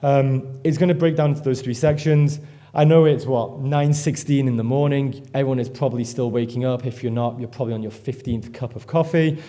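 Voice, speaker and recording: A man gives a live conference talk. His voice is mellow and somewhat dark. He rambles, pokes fun at himself and swears casually as he goes. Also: mid-range voice, fairly even intonation, a little fast. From England. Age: 30s. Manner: swears freely, rambling and self-deprecating. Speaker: conference speaker. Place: conference stage before an audience.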